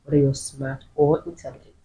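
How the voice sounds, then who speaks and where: A woman's voice: rumbling low, completely flat delivery, a little fast, mellow, a little hiss or hum. A woman speaking as a guest on a panel discussion, explaining her view firmly. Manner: firm, explanatory. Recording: echoey room.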